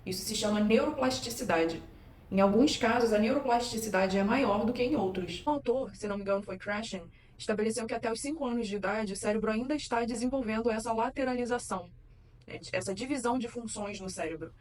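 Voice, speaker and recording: A woman with a Brazilian Portuguese accent, an English teacher explaining a topic in a calm, measured video lesson. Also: fairly high pitch, roomy sound.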